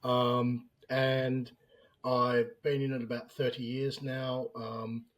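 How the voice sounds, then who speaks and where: A man's voice: medium pitch, little pitch movement, slow. Aussie guy, 50s, podcast guest, talks it through plainly with down-to-earth examples, mid voice. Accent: Australian. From Australia. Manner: plain-spoken, explains with everyday examples. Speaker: guest, business analyst. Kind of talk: podcast interview.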